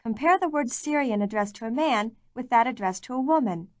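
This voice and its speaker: A woman narrating a language course, reading scripted prompts in a clear, measured way. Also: fairly high pitch, lively intonation, darkish tone, dry recording, clean studio sound.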